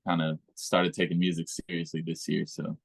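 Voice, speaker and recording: A man, the musical guest, answering interview questions in a low-key, hesitant way with fillers. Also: completely flat delivery, moderate pace, vocal fry, slightly rough, dry recording.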